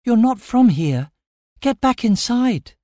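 A man putting on a high-pitched, despairing captive girl. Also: big pitch swings, slow pace, a little mellow, no echo, clean studio sound, heavily acted. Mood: startled, urgent. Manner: urgent, despairing warnings. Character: frightened, resigned captive girl.

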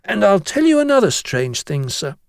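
A man's voice: high-pitched, huge pitch swings, normal speed, gravelly, no room sound, studio quality, pitched above the performer's own voice, heavily acted. A man voicing a chatty, melodramatic young schoolboy in an earnest, insistent storybook performance. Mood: mournfully dramatic. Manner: earnest, insistent, addressing teacher as sir.

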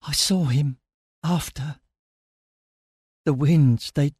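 A man voicing a fearful, secretive man in a story, giving halting warnings in a hushed, breathy voice. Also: fairly high pitch, dry recording, studio quality, full character voice. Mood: breaking, anguished. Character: frightened, secretive male castle dweller warning a stranger.

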